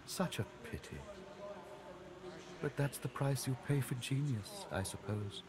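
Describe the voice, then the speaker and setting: A man playing a reverent, gossipy temple guide in a video game, measured and knowing. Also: medium pitch, a crowd in the background, close to an everyday voice. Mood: wistful, knowing. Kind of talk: video game dialogue acting. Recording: game dialogue with music behind. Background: game music.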